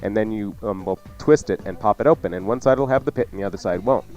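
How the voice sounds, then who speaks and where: A man's voice: lowish voice, fast, darkish tone, faint music behind. Folksy male radio chef, dull lo-fi sound. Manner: folksy, jokey, informal. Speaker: cooking show host and chef. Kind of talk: casual radio cooking instruction.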